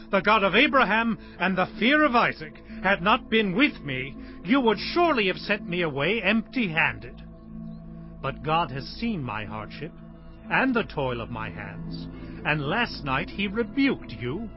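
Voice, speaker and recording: A man's voice: high voice, sing-song, measured pace. An actor playing an emphatic, hardworking herdsman patriarch in a dramatized audio Bible, declaiming with passion. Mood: indignant, wronged. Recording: dull lo-fi audio with music behind.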